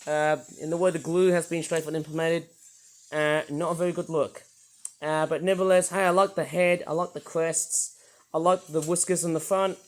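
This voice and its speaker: A British man with a croaky voice, casually reviewing toys in a rambling, enthusiastic way with lots of hesitations. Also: on the high side, little pitch movement, normal speed. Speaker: toy reviewer.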